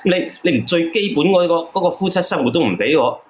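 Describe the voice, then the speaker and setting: A man's voice: a little high, animated, fast. A man calling a live show as an aggrieved husband, arguing in a crude, accusing, repetitive way over a noisy line. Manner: accusing, crude, repeats himself. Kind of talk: argumentative live call-in talk.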